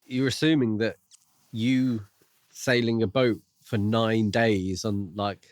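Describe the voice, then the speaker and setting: A man with a slightly low voice in a dry, close recording. He is a podcast co-host chatting casually about a book, with a jokey, giggly manner of retelling. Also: fairly even intonation, slow pace, no room sound.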